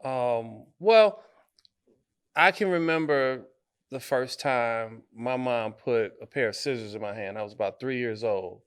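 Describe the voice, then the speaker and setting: A man from Detroit, a guest artist, answering interview questions in a thoughtful, halting way, with many fillers and restarts. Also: medium pitch, clean tone. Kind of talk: conversational interview.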